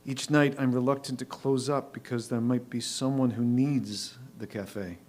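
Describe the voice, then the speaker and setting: Guy playing an older waiter, patient, a bit lonely, medium pitch, stage reading. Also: steady tone, moderate pace, a little mellow, close to an everyday voice. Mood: wistful. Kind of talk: staged reader's theatre reading.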